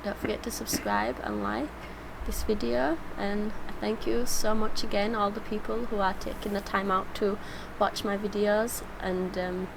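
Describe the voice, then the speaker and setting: A woman's voice: mid-range voice, level tone, a little slow, gravelly, few pauses, background noise. A woman talking casually and personally as a reaction vlogger, heartfelt and rambling, emotional. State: emotional, tearful.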